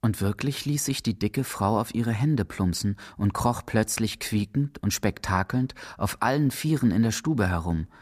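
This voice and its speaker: A man's voice: fairly low pitch, expressive, normal speed, hardly any pauses, darkish tone. A man reading scripted literary narration as the narrator of a radio drama.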